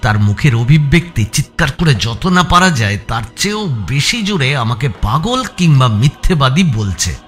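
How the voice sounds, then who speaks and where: A man narrating a suspense audio story in the first person, with expressive, dramatic delivery. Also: medium pitch, normal speed, few pauses. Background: background music.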